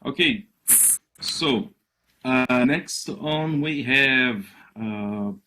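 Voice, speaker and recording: A man's voice: mid-range voice, drawn-out words, sharp tone, low-quality recording. A man hosting a reading event, introducing the next reader with laid-back, brief remarks.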